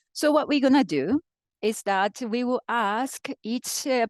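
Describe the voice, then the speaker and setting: Japanese accent lady running a panel, halting, lots of restarts, dry close sound. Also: fairly high pitch, a little mellow, no room sound. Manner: halting, with frequent restarts and fillers. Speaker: panel moderator. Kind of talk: moderating a conference panel.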